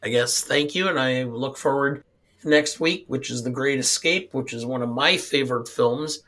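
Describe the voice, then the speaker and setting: Podcast co-host: middle-aged American man, medium pitch, halting, measured delivery, cold-scratched voice. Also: sharp tone. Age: middle-aged or older. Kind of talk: casual film chat.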